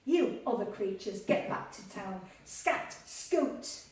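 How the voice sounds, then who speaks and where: A woman's voice: mid-range voice, lively intonation, slow, darkish tone. A woman reading aloud as a stern, dismissive male ark builder who gives commands. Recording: distant voice in a very echoey room.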